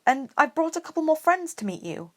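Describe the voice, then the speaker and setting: A woman voicing a studious, excitable, bossy schoolgirl in an audiobook, with a bright and emphatic manner. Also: sits high, fairly quick, no room sound, studio quality, pitched above the performer's own voice. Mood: cheerful.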